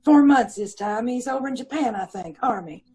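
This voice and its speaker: A woman's voice: on the high side, animated, moderate pace, mellow, slightly rough. A woman playing a gossipy, aggrieved middle-aged landlady and mother of five, talking folksy and ungrammatical in old-time radio style. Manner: folksy ungrammatical talk, indignant and self-pitying. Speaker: witness in radio police drama.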